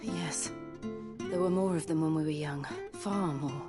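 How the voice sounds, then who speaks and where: Calm polite traveller woman, slightly low voice, guitar music behind, fantasy game. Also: measured pace. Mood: thoughtful. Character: composed, courteous travelling companion woman, thoughtful and polite. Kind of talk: dramatic video game acting.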